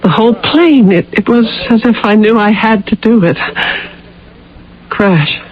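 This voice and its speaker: An actress playing a dazed, haunted woman pilot after a crash in a radio drama, with halting delivery. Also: fairly high pitch, natural voice. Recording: tinny old radio broadcast.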